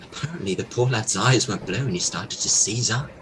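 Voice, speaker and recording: A man's voice: on the low side, brisk, noisy background, close to an everyday voice. An actor playing a chatty local man in an audio drama, informal and stumbling over his words. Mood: hesitant, helpful. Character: hesitant, chatty small-town local man.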